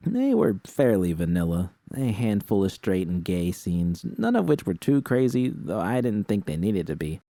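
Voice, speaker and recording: Low, mellow man narrating fiction. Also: big pitch swings, normal speed, few pauses, no room sound, clean studio sound. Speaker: audiobook narrator. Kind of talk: first-person scripted fiction narration.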